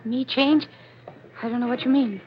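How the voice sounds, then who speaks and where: A woman's voice: fairly high pitch, fairly even intonation, moderate pace, a little hiss or hum, close to an everyday voice. An actress playing a kind, anxious young woman with an earnest, placating manner in a 1940s radio drama. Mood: nervous, placating. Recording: muffled old radio recording, organ music behind.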